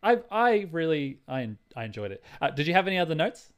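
A man co-hosting a podcast, chatting casually and excitedly like an enthusiastic fan about films and games. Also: fairly high pitch, fairly quick, clear, resonant tone. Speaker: podcast co-host.

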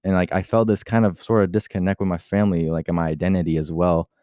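A man's voice: low-pitched, level tone, fast, warm tone, no echo, studio quality. A young man from Puerto Rico talking casually on his podcast in a rambling way, full of "like" and restarts, switching at times into Spanish. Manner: rambling, heavy use of filler "like", many restarts; switches into Spanish mid-talk. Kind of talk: casual personal monologue.

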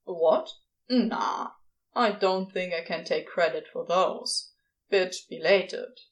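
A woman voicing a laconic male supernatural being in a story, casual and halting, bashful with tenderness underneath. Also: fairly low pitch, lo-fi sound. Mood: dismissive. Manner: casual, halting, clipped phrasing. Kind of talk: podfic character dialogue.

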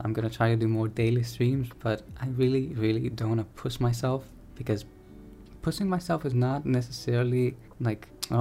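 A man's voice: mid-range voice, gravelly, warm tone. A young man, a streamer, talking through a casual personal podcast in a soothing, rambling, candid way, often correcting himself mid-sentence. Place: home room.

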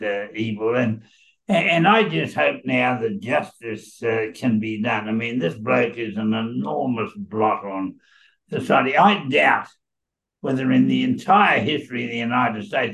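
A man's voice: mid-range voice, creaky, fairly bright tone, poor audio. An older man co-hosting a podcast, talking politics in an emphatic, rambling way with many restarts. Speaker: podcast co-host. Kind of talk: casual political chat.

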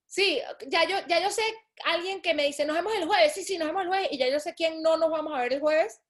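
A woman from Venezuela speaks with a high voice in a casual interview. She tells lively stories and acts out what other people say. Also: a little fast. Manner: lively, acts out quoted people, laughs often. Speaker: guest, shoe designer.

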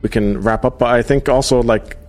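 A man hosting a live podcast, reading out viewer comments in a casual, halting way with many fillers. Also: medium pitch, fairly even intonation, soft background music. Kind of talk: casual livestream chat.

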